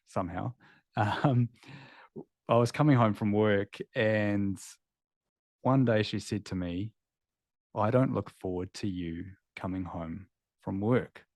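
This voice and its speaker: A man's voice: fairly low pitch, gravelly, darkish tone, dry recording. A man in his thirties with a New Zealand accent, a guest telling his story candidly and reflectively in an interview. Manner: candid, reflective, with filler words. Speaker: guest, former veterinarian.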